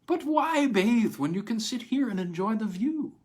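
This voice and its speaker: A man's voice: sits high, expressive, normal speed, a little mellow, slightly rough, voice pushed up. Man acting a pushy, emphatic estate salesman. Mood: insistent, persuasive. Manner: emphatic, persuasive, expansive. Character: pushy, smiling male Italian real estate salesman.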